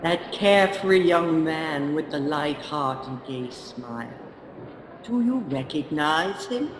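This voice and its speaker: A man's voice: a little high, lively intonation, takes it slowly, a crowd in the background. A man playing a grave, commanding ghostly spirit guide in a radio play, with formal speech and ghostly reverb. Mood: questioning. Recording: long echoing hall reverb.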